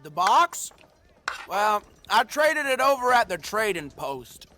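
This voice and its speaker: A man playing a folksy, earnest fellow in a supernatural Western audio drama, unhurried but quick to protest. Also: high-pitched, big pitch swings, background noise, exaggerated voice. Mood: matter-of-fact. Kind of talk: scripted audio drama acting.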